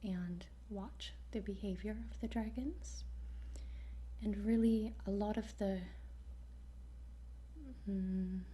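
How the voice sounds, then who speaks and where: A woman speaking in a soft, breathy whisper as a guide in an ASMR roleplay, hesitant, with pauses between short phrases. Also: mid-range voice, fairly even intonation. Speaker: ASMR roleplayer playing a dragon training guide.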